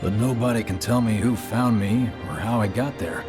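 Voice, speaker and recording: Man playing a low-voiced, weathered storyteller. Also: close to an everyday voice. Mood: brooding, awed. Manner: measured, dramatic storytelling. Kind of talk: video game cutscene acting. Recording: music under the voice.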